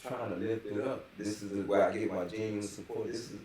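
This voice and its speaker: Very deep-voiced man in echoey room. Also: fairly even intonation, brisk, darkish tone, distant mic. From San Antonio, Texas. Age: middle-aged. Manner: emphatic, slangy, frequently seeks agreement. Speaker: interview guest, rapper. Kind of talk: casual interview talk. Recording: very echoey room, off-mic, faint noise.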